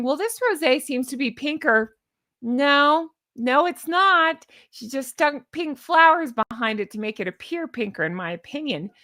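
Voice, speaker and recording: Sarcastic, higher-voiced woman commentator. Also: fairly bright tone, no room sound, clean studio sound. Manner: sarcastic, mocking, exasperated; chatty, rambling, often breaking off to laugh at her own jokes. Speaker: commentary show host. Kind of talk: casual, unscripted commentary on social media posts.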